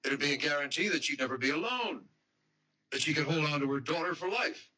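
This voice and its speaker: Bright-voiced, sceptical young man in radio drama. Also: fairly high pitch, expressive, a little fast, exaggerated voice, poor audio. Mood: speculating, intent. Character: young man, sceptical, speculating fiancé on the run.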